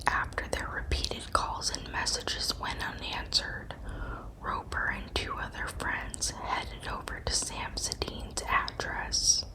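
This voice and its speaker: Whispering woman narrating slowly. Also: poor audio. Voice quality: breathy unvoiced whisper. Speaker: narrator of true crime ASMR. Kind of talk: whispered ASMR scripted true-crime narration.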